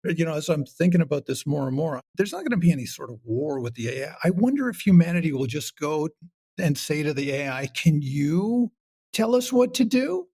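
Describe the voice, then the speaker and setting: A man's voice: fairly high pitch, big pitch swings, a little fast, dark tone, breathy edge. A man talking casually as an interview guest, wry and rambling, often acting out little quotes. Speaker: podcast guest, comedy show creator.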